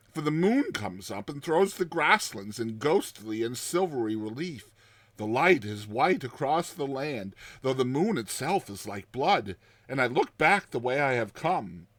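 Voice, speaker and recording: A man's voice: mid-range voice, very animated, measured pace, a bit bright, no echo. A man playing a haunted, terrified man on the edge of madness in a dramatized horror story, speaking intensely and drawing out his words. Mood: haunted, frantic. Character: haunted, terrified man recounting a recurring nightmare, near madness.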